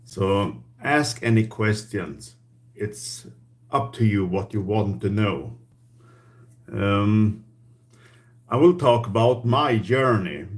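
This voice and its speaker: A man with a Swedish accent, a native Swedish speaker, hosting a casual live stream Q&A in halting English and searching for words. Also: lowish voice. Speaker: livestream host.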